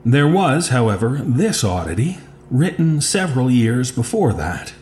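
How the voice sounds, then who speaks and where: An actor playing an uneasy archivist recording case notes in a horror audio drama, measured and matter-of-fact with small hesitations. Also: male voice, mid-range voice, very animated, a little mellow, slight background noise. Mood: measured, factual.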